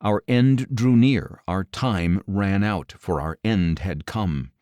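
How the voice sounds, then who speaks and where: Male scripture narrator, lower register, solemn and deliberate phrasing, very dry studio-style sound. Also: a little mellow, no echo. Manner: measured, grave delivery with deliberate phrasing. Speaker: audiobook narrator.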